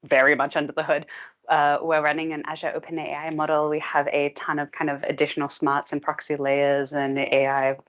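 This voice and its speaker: A young woman giving a tech talk to a meetup audience, brisk and chatty with frequent "um" and "like". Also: deep voice, monotone, muffled recording. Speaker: guest speaker, technical presenter. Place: meetup room with a live audience.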